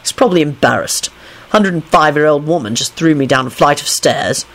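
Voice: mid-range voice, fairly quick, fairly bright tone. A reader voicing a surly, morose male werewolf wizard in a storybook, snappish and grumbling. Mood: morose, unhappy.

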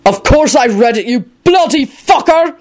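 Narrator playing explosive angry man, foul-mouthed, scornful, raised pitch, storybook character. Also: sing-song, normal speed, creaky, slight background noise. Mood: enraged. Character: wrathful foul-mouthed man, Quentin, bellowing and sneering.

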